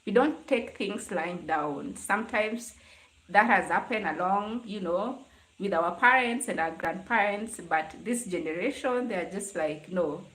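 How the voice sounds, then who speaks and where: A woman's voice: medium pitch, measured pace, fairly bright tone. A young adult woman with a Kenyan accent hosts a casual live chat, talking conversationally to her viewers. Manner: conversational, greeting and answering commenters. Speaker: livestream host. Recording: roomy, echoing live stream sound.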